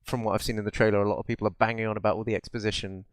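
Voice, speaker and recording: Male podcast co-host, low smooth voice, rambling casual talk, dry close sound. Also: flat delivery, rapid-fire, dry recording. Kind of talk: casual film chat.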